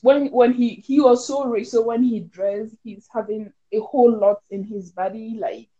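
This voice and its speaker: Higher-voiced Ghanaian woman chatting. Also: fairly even intonation, moderate pace, mellow, highs cut off. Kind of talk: casual chat.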